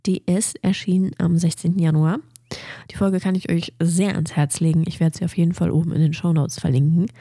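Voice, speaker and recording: A woman with a cold chats eagerly through nerdy facts on her own podcast, her blocked nose coloring every word. Her voice sits low, dark and husky. The recording is close and dry, with no room around her. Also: no echo. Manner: chatty, enthusiastic about nerdy facts. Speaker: podcast host. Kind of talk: casual solo science podcast.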